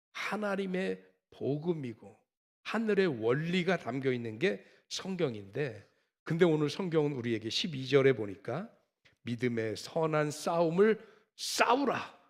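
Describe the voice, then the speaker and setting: Animated preaching man in a reverberant hall. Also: on the high side. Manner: conversational preaching, rhetorical questions, emphatic rises. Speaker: pastor. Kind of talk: sermon. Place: church sanctuary.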